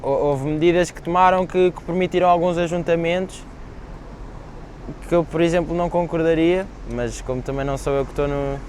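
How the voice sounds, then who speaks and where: Young Portuguese man, slightly high voice. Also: fairly even intonation, brisk, darkish tone, a little hiss or hum. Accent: European Portuguese. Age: young adult. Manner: hesitant, restarting sentences. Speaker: interviewee. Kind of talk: casual interview answers.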